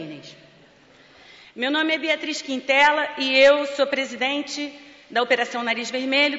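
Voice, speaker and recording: Brazilian woman giving a thank-you speech, bright voice, jokey and teary. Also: high-pitched. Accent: Brazilian Portuguese. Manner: emotional, joking, fighting back tears. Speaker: charity president and clown. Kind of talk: award acceptance speech. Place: large parliament hall. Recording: lo-fi with long hall reverb.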